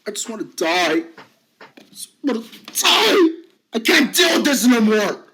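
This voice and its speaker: A bright, high-pitched middle-aged man at the end of his rope, yelling and breaking into sobs, as a man acts him out. He drags his words slowly, shouting through tears in an amateur drama. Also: exaggerated voice. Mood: sobbing. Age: 50s. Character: bullied fifty-year-old man, desperate and tearful.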